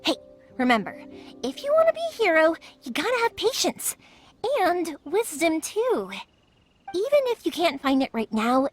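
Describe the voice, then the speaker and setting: A woman voicing a cheerful young fireworks maker, upbeat and encouraging, in game voice acting. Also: high voice.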